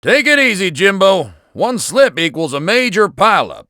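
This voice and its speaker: A man's voice: high voice, very animated, moderate pace, sharp tone, no room sound, studio quality, full character voice. A man with an American accent voicing a breezy, folksy intelligence agent in a video game. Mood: breezy warning. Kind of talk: video game radio-chatter dialogue.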